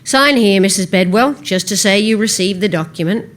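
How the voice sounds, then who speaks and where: A woman's voice: mid-range voice, moderate pace, natural voice. A woman voicing a polite, official male process server in a storybook reading, formal and businesslike. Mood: brisk, formal.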